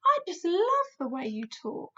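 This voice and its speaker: A hushed, breathy little girl, as a woman puts her on. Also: very high voice, wildly swooping pitch, measured pace, voice pushed up, exaggerated voice. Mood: curious. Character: small polite curious young girl. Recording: dull lo-fi recording.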